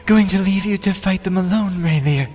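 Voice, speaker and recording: A man's voice: high voice, normal speed, a little mellow, voice pushed up, close to an everyday voice. A man voicing a loyal, distressed elf adventurer with a gasping, agitated delivery in an audio drama. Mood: desperate, loyal. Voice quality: gasping, breathy.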